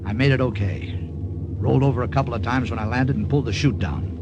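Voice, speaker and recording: An actor playing an excitable, slangy American airman who tells his war story in a dramatic old radio thriller style. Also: medium pitch, highs cut off, heavy background noise, natural voice. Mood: tense recounting. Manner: dramatic, slangy, halting under strain. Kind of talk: 1940s-50s radio drama narration and acting. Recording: old-time radio recording with music.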